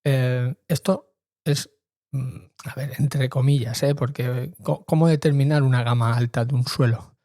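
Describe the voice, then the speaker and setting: A man's voice: medium pitch, fairly even intonation, a little slow, warm tone, no echo, studio quality. A middle-aged man hosting a podcast in an informal monologue, speaking hesitantly and repeating words for emphasis. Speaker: podcast host.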